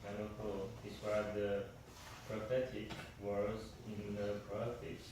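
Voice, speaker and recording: A man student reading aloud slowly and haltingly, stumbling on hard words. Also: lowish voice, level tone, distant mic. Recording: echoey classroom recorded from afar, noisy.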